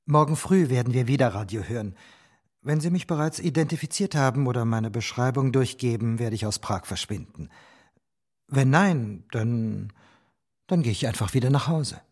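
A man's voice: medium pitch, expressive, moderate pace, a little mellow, slightly rough. A man playing a courteous, guarded wartime fugitive in a radio play, earnest in manner. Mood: tense. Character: polite, guarded male fugitive hiding in wartime Prague. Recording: music under the voice.

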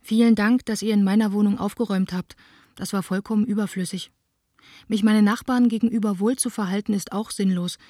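A young woman , played by an actress, reads her private letters in a radio play. Her voice is soft and mellow, with very little rise and fall. She stays intimate and understated, in a dry, close sound. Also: mid-range voice, steady tone, a little fast, no echo, natural voice. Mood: matter-of-fact. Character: Anna, young woman stage director writing intimate letters.

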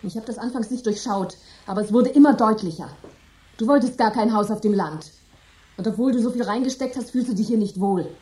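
A woman's voice: on the high side, fairly quick, mellow, close to an everyday voice, poor audio. An actress playing a grave, earnest wife who speaks solemnly and confronts her husband in a radio play. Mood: confronting. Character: Rachel, ominous earnest wife.

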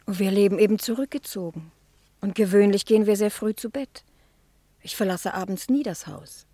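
An actress playing a timid, naive, sheltered niece who speaks shyly and hesitantly, in the style of a 1960 German radio play. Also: female voice, mid-range voice, moderate pace, dark tone, no room sound, close to an everyday voice. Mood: shy, pleased. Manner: hesitant, breathy, shy.

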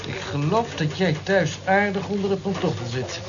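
An actor in an old radio play voicing a dismissive, mocking office colleague with an offhand, scornful manner. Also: male voice, high voice, lively intonation, normal speed, a crowd in the background. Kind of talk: vintage radio play acting. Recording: dull, noisy old radio play recording.

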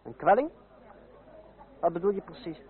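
An actor playing a bitter, ironic young outsider in revolutionary France, in a Dutch radio drama with a dry, sardonic delivery. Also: male voice, high-pitched, lively intonation, normal speed. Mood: brooding. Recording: tinny old radio recording, crowd behind.

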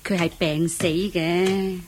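A slow, weary old grandmother. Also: fairly low pitch, level tone, noisy background. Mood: weary, sorrowful. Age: older. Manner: humble, plaintive, tired. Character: poor, weary old peasant grandmother, widowed. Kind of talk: radio drama acting.

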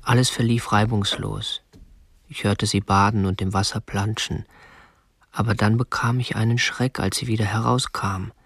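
A man's voice: on the low side, little pitch movement, moderate pace, creaky, a bit bright, husky, no echo, heavily acted. An actor in a radio drama playing a prim, obsessive young man who keeps a woman captive, speaking in a stiff, controlled way. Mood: matter-of-fact, controlled. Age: 20s. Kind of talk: radio drama narration and dialogue.

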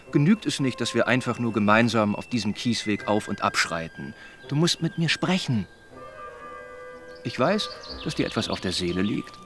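Earnest young gentleman, played by a man, lively intonation. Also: medium pitch, close to an everyday voice. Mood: gently coaxing. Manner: measured, formal, persuading. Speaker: radio drama actor. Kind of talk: German radio drama dialogue.

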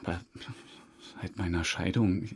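An actor playing an evasive, embarrassed suspect in a radio drama, speaking haltingly and defensively in a husky voice. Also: male voice, sits low, fairly even intonation, heavily acted. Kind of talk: radio drama interrogation dialogue.

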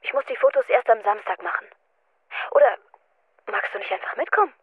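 An actress in a German radio drama playing a young photography student, teasing, forward and casual, heard through a telephone filter. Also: female voice, fairly high pitch, brisk, husky, no echo. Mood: coaxing, playful. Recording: thin, dry phone-line sound.

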